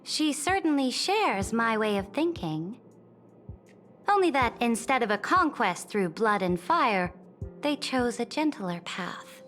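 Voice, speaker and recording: A woman's voice: fairly high pitch, sing-song, takes it slowly, fairly bright tone, faint music behind, exaggerated voice. A woman voicing a proud young conquering empress in a video game, speaking formally and haughtily. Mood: regal, musing. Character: proud young-looking female conquering emperor, regal and imperious. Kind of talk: video game character acting.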